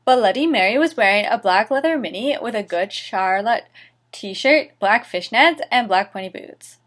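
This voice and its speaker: A woman's voice: fairly high pitch, lively intonation, normal speed, fairly bright tone. A woman reading fan fiction dramatically as host, with a sarcastic, theatrical manner and mocking asides. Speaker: host and reader of a series.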